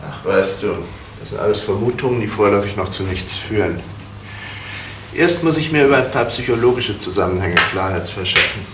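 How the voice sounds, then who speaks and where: An actor playing a shrewd, courteous gentleman detective in a 1970s radio crime drama, speaking deliberately and probingly. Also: fairly low pitch, expressive, natural voice. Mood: musing. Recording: noisy vintage radio play recording.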